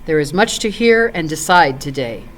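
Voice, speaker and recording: Woman reading as a commanding old male wizard, lively intonation. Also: low voice, normal speed, voice pushed down. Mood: grave, measured.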